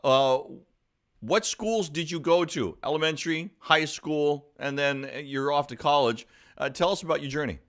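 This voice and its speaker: A man's voice: a little high, normal speed, a bit bright. A man hosting a live talk show, upbeat and exclamatory, drawing out his words as he introduces and questions a guest.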